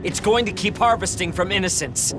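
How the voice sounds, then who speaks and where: Male, high register, idealistic young game hero played by an actor, challenging and defiant, music underneath. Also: a little fast, natural voice. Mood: indignant. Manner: indignant, challenging. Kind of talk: dramatic video game voice acting. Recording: music behind the voice.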